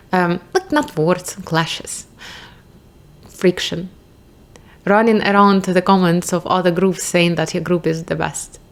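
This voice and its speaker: Young woman, Ukrainian accent, lowish voice, casual vlog, rambling, restarts, swears now and then. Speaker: vlogger. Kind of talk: casual reflective monologue.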